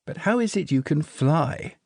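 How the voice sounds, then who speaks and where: A woman's voice: low-pitched, expressive, a little slow, warm tone, slightly rough, dry recording, studio quality, voice pushed up, exaggerated voice. A man voicing a curious, polite girl who keeps asking puzzled questions.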